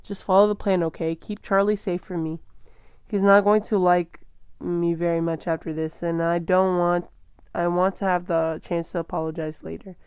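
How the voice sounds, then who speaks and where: A woman's voice: on the low side, flat delivery, moderate pace, a little mellow, smooth, no room sound, natural voice. A woman voicing a protective young man, speaking urgently and intensely.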